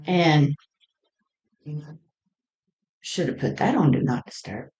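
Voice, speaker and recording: Woman, low voice, very slow pace, halting, reflective, unscripted solo podcast talk. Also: very animated, a little mellow. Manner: halting, reflective, trails off mid-thought. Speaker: podcast host. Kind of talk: unscripted spiritual monologue.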